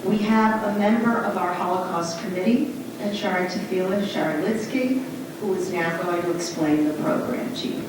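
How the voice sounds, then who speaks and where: A woman teacher introducing an evening program to seventh graders in a measured, firm way. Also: fairly low pitch, background noise. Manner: measured, firm classroom address. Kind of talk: addressing students. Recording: distant voice in an echoey hall.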